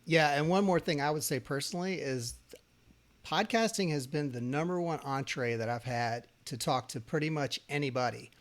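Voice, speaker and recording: A man's voice: on the high side, moderate pace. A man hosting a podcast, chatting in a casual, enthusiastic way and talking about his own experience. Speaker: podcast host. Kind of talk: casual interview chat.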